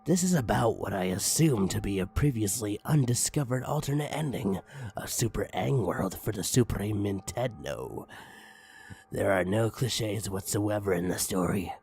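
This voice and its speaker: A man's voice: lowish voice, expressive, normal speed, gravelly, a little mellow. A man narrating a comedic story aloud in a theatrical, mock-serious way, with sudden emphatic swings. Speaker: narrator reading a parody creepypasta story aloud. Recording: music bed under the voice. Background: background music.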